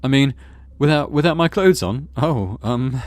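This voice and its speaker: A man's voice: mid-range voice, expressive, measured pace, dry recording, clean studio sound, pitched above the performer's own voice, natural voice. A man voicing an earnest, easily flustered young male friend in a visual novel. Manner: exclaiming, stammering when flustered.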